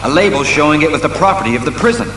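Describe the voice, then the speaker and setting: An actor playing a serious, commanding masked detective hero, earnest and deliberate, in an audio drama. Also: male voice, fairly high pitch, animated, over music, lightly acted. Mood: intent.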